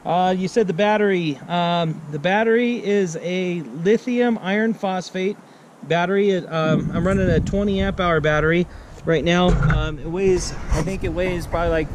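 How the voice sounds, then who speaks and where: A middle-aged American man chatting casually as a ham radio operator outdoors, halting and laid-back, repeating his phrases. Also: sits high, background noise. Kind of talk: casual radio contact chat. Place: outdoors at a picnic table on a mountain.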